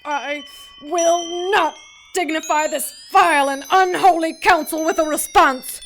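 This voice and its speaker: A woman's voice: very high-pitched, fairly even intonation, unhurried, soft background music. An actress playing a righteous, wrathful female angel in an audio drama, haughty, emphatic and defiant.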